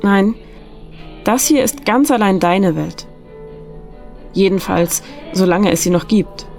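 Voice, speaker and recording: A woman's voice: mid-range voice, slow pace, creaky, darkish tone, soft background music. An actress playing a mysterious, insistent woman guide in a radio play, speaking with earnest urgency. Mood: cryptic.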